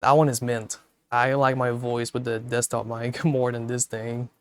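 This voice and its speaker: A man chatting casually in a relaxed, rambling way, swearing freely and chuckling now and then, speaking into a cheap wireless headset mic held far from his mouth. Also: mid-range voice, moderate pace, clear, resonant tone. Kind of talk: casual chat.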